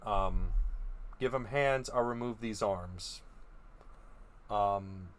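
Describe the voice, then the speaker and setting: A man's voice: fairly low pitch, drawn-out words. Hesitant, musing young man. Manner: hesitant and musing, frequent ums and restarts. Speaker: video host reviewing creature designs. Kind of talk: casual opinion commentary.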